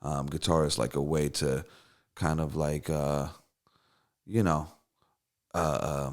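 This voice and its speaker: A man's voice: very deep voice, fairly even intonation, takes it slowly. A middle-aged man from Russia whose first language is Russian, telling stories casually in an interview with lots of fillers and restarts. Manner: rambling with many fillers and restarts. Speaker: songwriter and producer guest.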